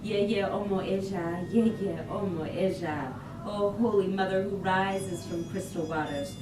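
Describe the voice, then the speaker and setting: A woman's voice: mid-range voice, lightly acted. Woman acting a reverent, lyrical grown daughter, echoey and distant. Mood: reverent invocation. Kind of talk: staged reading of a poetic play. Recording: distant echoey room, loud background noise.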